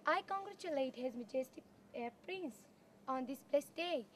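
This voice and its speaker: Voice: high voice, lively intonation, normal speed, a bit bright, a little hiss or hum. A student playing a devout religious leader who gives a formal, declamatory harvest blessing in a school drama. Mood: solemn, reverent. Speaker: student performer. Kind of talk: recited prayer and speech in a school drama. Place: outdoors in a garden, camera at a distance.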